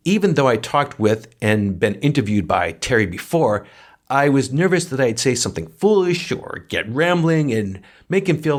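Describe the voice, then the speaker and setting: Male podcast co-host, medium pitch, solemn and sincere delivery of read-aloud tributes. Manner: earnest, solemn.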